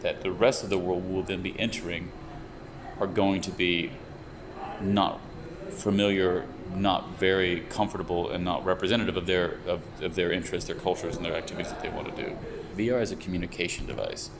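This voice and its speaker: A young man working as a VR researcher and developer, explaining his views in a measured, thoughtful way with frequent restarts. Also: low voice. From United States. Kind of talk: explanatory interview talk. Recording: steady air conditioner hum behind. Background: air conditioning hum.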